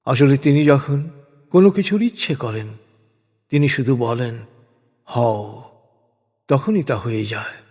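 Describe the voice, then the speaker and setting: A man reading scripture in translation as solemn scripted narration, in a measured, declamatory manner. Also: medium pitch, a little mellow. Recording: long hall-like reverb.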